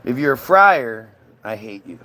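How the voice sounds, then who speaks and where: A man's voice: medium pitch, very animated, measured pace, bright, forceful tone. A man in a casual, combative political rant, dismissive and sweary as he answers chat comments. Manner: frequent swearing.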